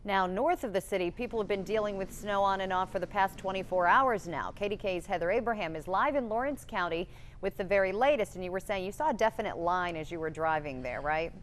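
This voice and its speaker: A woman's voice: medium pitch, brisk, a little hiss or hum. A woman anchoring a television morning news programme in presenter style.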